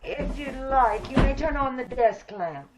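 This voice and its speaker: A woman playing a sinister, hideous schemer in an old-time radio horror play, speaking with measured, coolly polite menace. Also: high voice, animated, background noise. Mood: coolly courteous. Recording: dull video-call radio play recording.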